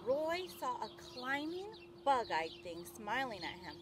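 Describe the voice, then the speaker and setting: A woman's voice: on the high side, unhurried. A middle-aged woman reading a picture book aloud to children like a teacher, expressively and with simple explanations. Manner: expressive, simple explanations addressed to young listeners. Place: backyard garden, outdoors. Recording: outdoor recording with clear birdsong noise.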